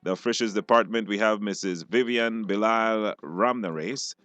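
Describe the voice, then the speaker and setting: Casting: male Belizean radio host, lower voice, casual and halting delivery, dry studio sound. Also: fairly bright tone, no echo. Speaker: radio call-in show host. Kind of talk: casual live studio hosting.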